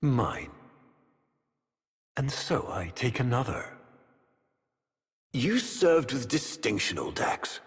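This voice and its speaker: A man playing a cruel, haughty aristocratic Executor villain in a video game, with theatrical, measured, formal diction and silky menace. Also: mid-range voice, big pitch swings. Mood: mock-genial. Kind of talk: dramatic video game character acting. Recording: long echoing hall reverb, some noise.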